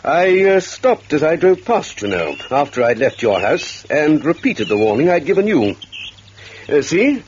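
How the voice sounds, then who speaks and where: An actor playing an authoritative police officer, commanding, clipped and polite, in a radio drama. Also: male voice, on the high side, big pitch swings, unhurried. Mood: brisk, businesslike. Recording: muffled radio recording, faint noise.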